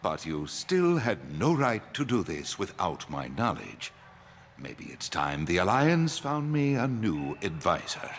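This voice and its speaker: A man's voice: low voice, very animated, unhurried, slight background noise, exaggerated voice. A man playing a self-important, irritable human politician in a video game, curt and indignant. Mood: grudging.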